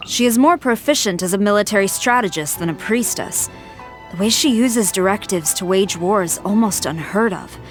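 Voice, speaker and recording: A woman playing a stern, loyal military general in a video game, speaking in a formal, measured, earnest way. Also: medium pitch, natural voice. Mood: formal, resolute. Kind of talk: scripted game character voice lines. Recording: music behind the voice.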